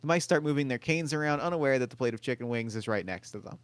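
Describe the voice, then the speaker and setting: A man reading stage directions aloud at a table read, in a quiet, even voice. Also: medium pitch, fast talker, clear, resonant tone, no echo.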